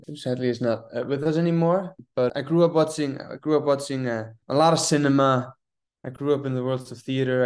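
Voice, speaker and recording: A man's voice: medium pitch, darkish tone. A man in his twenties from Iceland, a guest on an interview podcast, speaking thoughtfully with many restarts and repeated words. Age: 20s. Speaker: guest theater artist. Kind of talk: casual interview.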